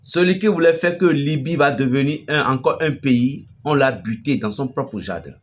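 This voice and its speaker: A man from Benin with a Beninese French accent, preaching an emphatic, storytelling message to a fellow pastor. His voice swells loudly at the climaxes. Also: medium pitch, normal speed. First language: a local Beninese language.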